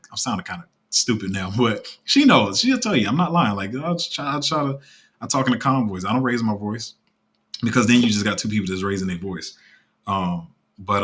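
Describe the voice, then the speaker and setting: A man's voice: lowish voice, a bit bright, breathy edge. A young man hosting a casual livestream, talking in a heartfelt, rambling way. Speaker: crypto channel host.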